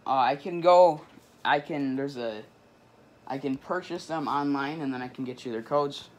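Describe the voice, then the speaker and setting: A man's voice: medium pitch, normal speed. A teenage male prank caller speaking politely and hesitantly in a casual phone conversation, recorded in a small indoor room. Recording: faint background noise, room camera.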